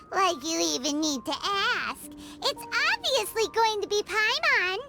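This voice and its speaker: Tiny childlike game companion, very high squeaky voice, chirpy, exclamatory, self-important, swooping intonation. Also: female voice, big pitch swings, slow, loud music behind the voice, exaggerated voice. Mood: playful, eager. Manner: speaks of herself in the third person, exclamatory. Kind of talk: anime video game voice acting.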